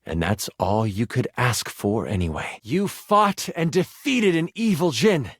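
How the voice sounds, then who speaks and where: A man voicing an earnest, excitable, nerdy game master in a video game, with a theatrical tabletop narrating manner. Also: mid-range voice, a little slow, no room sound, clean studio sound. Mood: dramatic. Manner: theatrical, earnest, awkwardly gushing.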